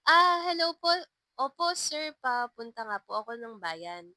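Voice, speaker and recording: A woman's voice: a little high, very animated, a little slow, bright, forceful tone, no echo, clean studio sound, exaggerated voice. A woman voicing a kind, encouraging female elementary school teacher in a narrated story. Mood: shyly polite.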